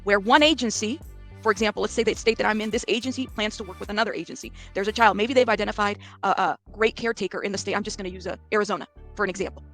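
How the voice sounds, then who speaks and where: A woman's voice: mid-range voice, fairly even intonation, rapid-fire, fairly bright tone, soft background music. A woman with an American accent giving informal, emphatic commentary as a host, bluntly explaining things to her audience with rhetorical questions and checks like okay and right.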